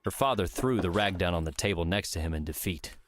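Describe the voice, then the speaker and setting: A man's voice: sits low, brisk, a little hiss or hum. A man reading scripted story narration for an audiobook.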